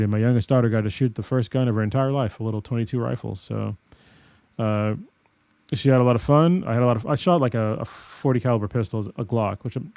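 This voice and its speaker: A man's voice: medium pitch, darkish tone, dry recording. An American man co-hosting a podcast, telling stories in a rambling way with lots of fillers and laughs. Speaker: podcast co-host. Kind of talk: casual chat.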